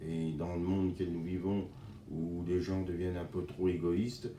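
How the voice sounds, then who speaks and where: Earnest older man with a dark, low voice. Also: level tone, slight background noise. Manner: earnest, halting, with many restarts. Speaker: association board member. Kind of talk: testimonial interview. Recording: echoey room, mic at a distance.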